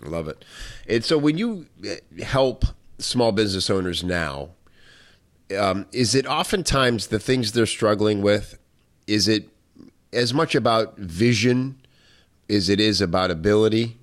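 A man hosts a podcast interview, praising his guest with enthusiasm before asking questions. He rambles a little and leans on fillers like "uh" and "you know." His voice sits on the low side. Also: animated, a little slow. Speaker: podcast host. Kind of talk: interview conversation.

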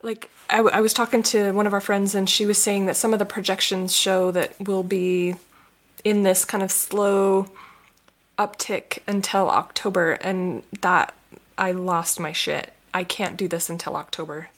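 A woman's voice: medium pitch, level tone, normal speed. A woman co-hosting a podcast in candid, joking casual chat. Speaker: podcast co-host.

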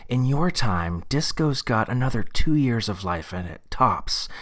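A man acting an eager, awkward guy, animated delivery. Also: medium pitch, a little slow. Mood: earnest, eager. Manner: earnest, slightly hesitant. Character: eager, awkward young man, a disco-obsessed time traveller. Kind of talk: acted dialogue in an audio story. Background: music.

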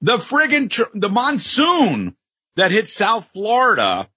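Husky guy hosting a podcast, lively pitch, dull dry sound. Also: slow, no echo. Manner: animated, jokey, many fillers and self-corrections. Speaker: podcast co-host. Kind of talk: casual wrestling podcast chat.

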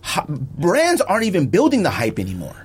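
An American man hosting a casual podcast debate, talking in an animated way and repeating his points insistently. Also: fairly high pitch, brisk, husky. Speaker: podcast host.

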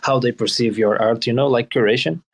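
A man hosting an online audio chat, encouraging the speakers and talking in halting phrases with many restarts. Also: medium pitch, steady tone. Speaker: host. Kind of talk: casual chat.

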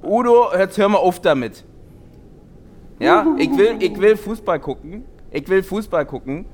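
Grumbly middle-aged husband, retired ghost hunter, played by a man: halting, deadpan, lively pitch, amateur audio play. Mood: annoyed.

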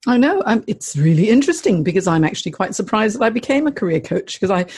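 A middle-aged woman from Hong Kong, a career coach, telling her story in a chatty, animated way as an interview guest. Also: medium pitch, quick pace, slightly rough, no echo, studio quality. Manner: chatty, animated, laughs often.

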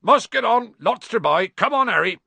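A man voicing a huge, gruff male half-giant gamekeeper in a storybook reading. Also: fairly low pitch, huge pitch swings, normal speed, pushed and bright, no room sound, voice pushed down, full character voice. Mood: hurried.

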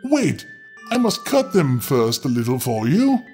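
A man's voice: medium pitch, huge pitch swings, measured pace, darkish tone, faint music behind. A man voicing a fearless, simple young man in a storybook tale, earnest and wistful, with archaic phrasing. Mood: cheeky, bold.

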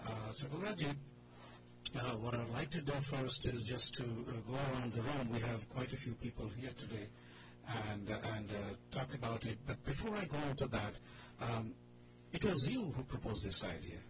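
Male radio host, Indian accent, mid-range voice, loose and hesitant delivery, noisy studio recording. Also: mid-range voice. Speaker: radio drama club host. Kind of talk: loose, informal radio talk show. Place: radio studio with a group in the room.

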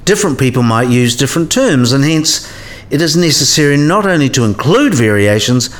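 A learned professor in a radio play, acted by a man, philosophical and patient like a mentor. His voice sits at a middle pitch and rises and falls animatedly as he lectures in scripted dialogue. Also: big pitch swings, a little fast, close to an everyday voice. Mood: lecturing.

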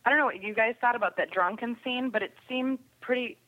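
A woman's voice: on the high side, fast, no echo. A woman chatting casually about television in an opinionated, emphatic way over a remote call. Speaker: podcast guest commentator on sci-fi TV. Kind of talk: casual TV review chat. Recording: dull, phone-like line.

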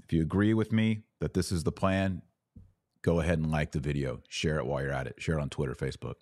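An American man hosting a podcast, giving opinionated church news commentary in an emphatic way, with deliberate pauses and sardonic asides. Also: a bass voice, steady tone, darkish tone, dry recording.

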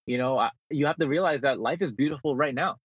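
A man heard over a slightly muffled, lo-fi call, talking quickly with a husky edge. He is a motivational speaker and author answering in a conversational interview style. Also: medium pitch, no room sound. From born in the Philippines, grew up in New Jersey. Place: remote call into the show. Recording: dull lo-fi remote call.

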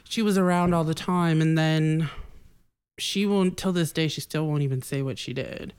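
A woman's voice: low voice, moderate pace, clear, resonant tone. A woman from Boston, a podcast guest telling stories in casual chat with many "like" fillers. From Boston, Massachusetts. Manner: animated storyteller, many "like" fillers.

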